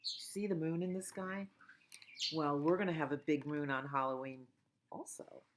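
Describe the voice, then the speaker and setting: A woman children's librarian reading a picture book aloud at storytime, slow and expressive. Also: low voice, background noise.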